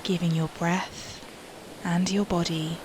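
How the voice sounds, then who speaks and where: A woman guides a meditation in a gentle, fairly low voice. She speaks very slowly, letting phrases fade into soft breath and leaving long pauses. A clear, steady hiss runs behind her.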